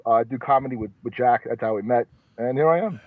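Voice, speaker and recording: A man's voice: mid-range voice, fast talker, no echo. A man, a comedian guest chatting and joking, recorded in his car. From New York. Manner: joking, repeats words when starting. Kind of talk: casual chat. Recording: tinny phone-like sound.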